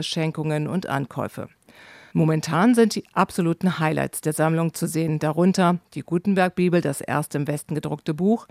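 A man reading scripted documentary narration for a travel report, measured and descriptive. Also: a little high, a little mellow, no room sound, clean studio sound.

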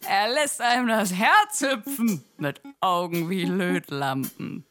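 A woman's voice: medium pitch, expressive, slow, a bit bright. Woman narrating a radio play over faint music.

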